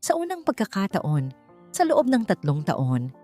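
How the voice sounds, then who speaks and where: A woman's voice: mid-range voice, wildly swooping pitch, a little slow, warm tone, soft background music. A woman reading a scripted, dramatic story as its narrator.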